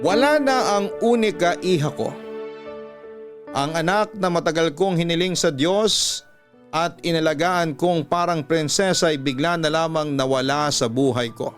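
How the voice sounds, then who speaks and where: A man's voice: fairly high pitch, moderate pace, soft background music. A man narrating a scripted first-person story as a radio storyteller, in a solemn, emotive and dramatic style.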